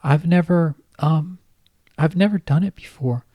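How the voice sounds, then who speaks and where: A man voicing a young man who fakes a teenage girl's voice, babyish and high with a hint of manliness, hesitant and full of filler words. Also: a little slow, warm tone, no echo, clean studio sound. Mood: coy. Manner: hesitant, lots of um and like.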